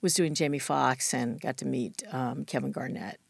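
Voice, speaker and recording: A woman's voice: very deep voice, fairly even intonation, moderate pace, gravelly, no echo. A woman chatting casually as an interview guest, telling anecdotes in an effusive, informal way and often trailing off mid-sentence.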